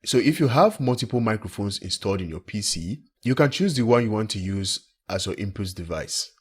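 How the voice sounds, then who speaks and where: A man with a deep, husky voice narrates a software tutorial. He explains each step plainly, as an instructor would over a screen recording. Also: expressive, fairly quick. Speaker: tech tutorial presenter.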